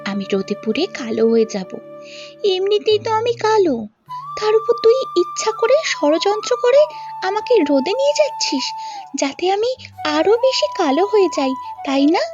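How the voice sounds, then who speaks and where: A woman's voice: very high voice, very animated, normal speed, music in the background. A woman voicing a vain, jealous young village sister in a cartoon fairy tale, complaining petulantly, in a dull lo-fi recording. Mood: indignant. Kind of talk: animated storybook dialogue.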